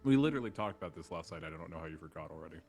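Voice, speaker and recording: Very deep-voiced man, sarcastic needling. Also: animated, rapid-fire, creaky, a little mellow, faint music behind. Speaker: roleplay player as new agent. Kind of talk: in-character roleplay banter.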